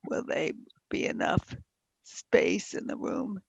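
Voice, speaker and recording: A woman speaking frankly in a group conversation in a weakening, often whispered voice, halting and breathy with long pauses. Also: a little mellow, dry recording, dull audio. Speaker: host of a conversation series.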